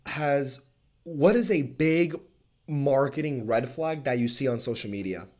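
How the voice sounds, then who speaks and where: A man's voice: medium pitch, a little slow, a little mellow. A man hosting a casual podcast chat, telling a story in a laid-back, chatty way and asking questions. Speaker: podcast host.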